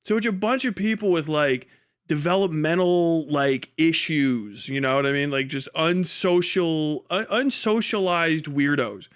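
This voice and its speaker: Male streamer, high clear voice, profane and rambling off-the-cuff commentary. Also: measured pace. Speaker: streamer reacting to videos. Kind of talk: casual live-stream commentary.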